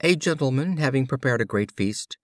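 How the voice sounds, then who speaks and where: A man reading fables as scripted audiobook storytelling, with measured, deliberate phrasing. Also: medium pitch, expressive, no room sound, clean studio sound. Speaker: audiobook reader.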